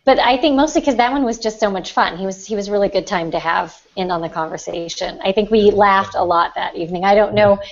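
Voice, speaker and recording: Female podcast panelist, average pitch, relaxed conversational phrasing, slightly dull lo-fi sound. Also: fast talker, hardly any pauses. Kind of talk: casual chat. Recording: dull lo-fi audio.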